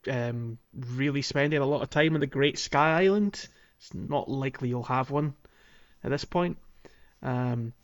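A man co-hosting a podcast, explaining a game in casual chat with hesitant, thoughtful phrasing. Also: medium pitch. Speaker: podcast co-host. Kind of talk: casual chat about a video game playthrough.

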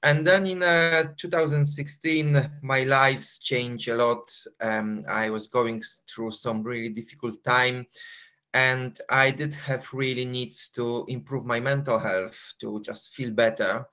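A man in his forties with a Polish accent, a guest runner who speaks halting English, searches for words, and laughs often. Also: mid-range voice, fairly even intonation, bright, forceful tone. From Poland. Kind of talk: casual interview.

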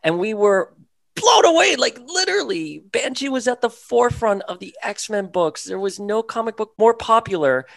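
A podcast co-host asking gushing, excited questions of a guest. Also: mid-range voice, normal speed, creaky, dry recording. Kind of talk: enthusiastic podcast hosting.

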